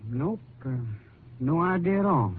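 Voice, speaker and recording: A jittery young rural man in a vintage radio play. His American voice is on the high side and he hesitates and stumbles over his words. The sound is thin and tinny with faint noise. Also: expressive, unhurried. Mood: uneasy, pleading. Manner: hesitant, stammering. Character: nervous young rural man pleading uneasily with lawmen. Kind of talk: 1950s radio drama acting.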